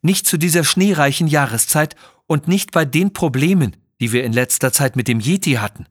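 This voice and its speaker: An earnest Sherpa mountain guide from an audio adventure, acted by a man. He is formal and cautious, with a slightly husky voice in a dry recording. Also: on the high side, expressive, moderate pace, dry recording, voice pushed up. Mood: warning.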